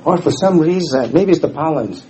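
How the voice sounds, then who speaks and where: A man's voice: a little high, lively intonation, normal speed, warm tone. A middle-aged man from Houston, with a scratchy voice, giving a casual sermon as guest speaker and restarting his sentences often. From Houston, Texas. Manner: informal, self-deprecating, restarts often. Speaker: guest speaker, former missionary. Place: church hall.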